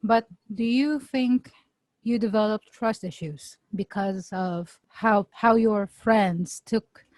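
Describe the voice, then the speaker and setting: A young woman with a Filipino accent co-hosting a podcast, playful, searching for words and slowing down to stress a point. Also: mid-range voice, darkish tone, dry recording. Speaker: podcast co-host. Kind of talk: casual chat.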